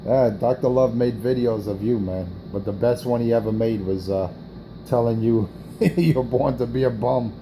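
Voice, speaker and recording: A man's voice: medium pitch, normal speed, noisy background. A man trash-talking on a CB radio morning show, brash and profane, taunting another operator and repeating his jabs.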